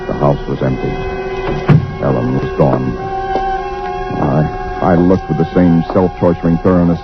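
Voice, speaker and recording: An actor playing a haunted man who waits alone for his doom, narrating in slow, dread-filled old-time radio style. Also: very deep voice, little pitch movement. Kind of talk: 1940s radio drama acting. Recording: dull lo-fi old radio recording with music.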